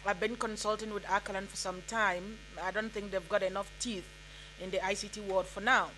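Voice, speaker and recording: A woman's voice: medium pitch, fairly even intonation, a little fast, sharp tone, slight background noise, poor audio. A woman from Nigeria whose first language is Igbo, speaking earnestly from the floor at a conference, with frequent ums and restarts. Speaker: audience member. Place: conference hall.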